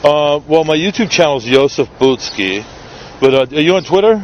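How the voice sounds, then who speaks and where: A man's voice: on the high side, expressive, normal speed, lo-fi sound, a little hiss or hum. Chatty New York man outdoors. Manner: chatty, joking, curious questioner. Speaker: street videographer. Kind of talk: casual street conversation. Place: outdoors in a city park.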